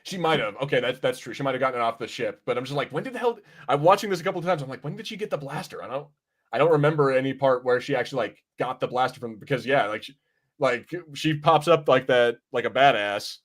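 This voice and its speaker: A man's voice: mid-range voice, expressive, fast. A man hosting a casual review podcast, rambling informally and swearing freely as he chats.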